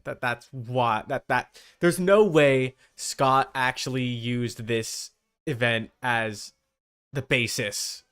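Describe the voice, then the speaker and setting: A man's voice: medium pitch, a bit bright. A man giving casual, skeptical live commentary as a streamer, often repeating himself for emphasis. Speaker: streamer reacting to a video.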